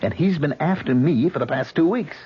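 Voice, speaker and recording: A man's voice: on the high side, lively intonation, brisk, husky. An actor playing an earnest, nervous haunted man seeking help in a radio drama. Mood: uneasy, earnest. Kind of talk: 1970s radio drama acting. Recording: dull, hissy old radio recording.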